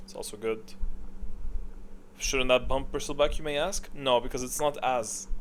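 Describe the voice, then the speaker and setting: A man's voice: medium pitch, fairly bright tone, a little hiss or hum. A man giving casual, off-the-cuff gaming commentary, thinking aloud in a rambling, blunt way.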